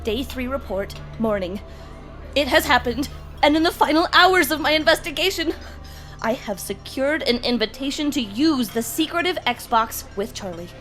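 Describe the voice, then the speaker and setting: A woman's voice: high-pitched, a bit bright. A woman playing an earnest, suspicious young alien disguised as a preteen boy in an audio drama, talking in stiff, formal, over-dramatic reports.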